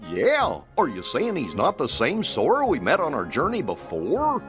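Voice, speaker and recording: A man voicing a goofy, good-natured cartoon sidekick with a husky voice in an animated game. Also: fairly high pitch, normal speed, faint music behind. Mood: puzzled. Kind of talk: animated video game voice acting.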